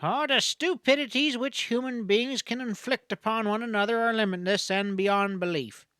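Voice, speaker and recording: A man's voice: sits high, moderate pace, bright, forceful tone, smooth, no room sound, voice pushed up. A man voicing a wry, cynical, gallant frontier doctor with a folksy, talkative manner in a Western audiobook. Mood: gallant, breezy. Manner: folksy, drawling, eloquent.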